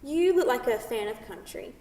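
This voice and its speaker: A woman's voice: a little high, expressive, normal speed, dark tone. A woman reading the part of a brash, teasing New York City woman in a staged play, pushy and playfully sarcastic. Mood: needling, superior. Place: theatre, recorded from a distance. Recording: distant mic in echoey hall.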